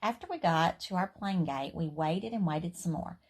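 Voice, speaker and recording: A woman reading a children's story aloud in an expressive, playful, emphatic way. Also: fairly low pitch, moderate pace, breathy edge.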